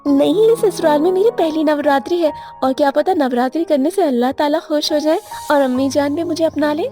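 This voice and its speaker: An earnest young bride, voiced by a woman, speaks in a high, mellow voice, eager and pleading. Soft music runs underneath. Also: a little fast, natural voice. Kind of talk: animated moral story dubbing. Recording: music bed behind voice.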